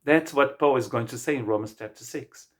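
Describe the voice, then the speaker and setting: A middle-aged man from Brazil with a Brazilian Portuguese accent, a native Portuguese speaker, preaching a sermon as pastor in an earnest, halting way with many restarts. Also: medium pitch. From Brazil, state of Rio de Janeiro.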